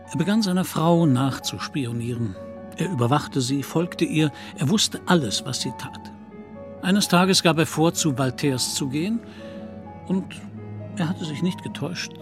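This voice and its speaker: Male radio play narrator over music. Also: on the high side.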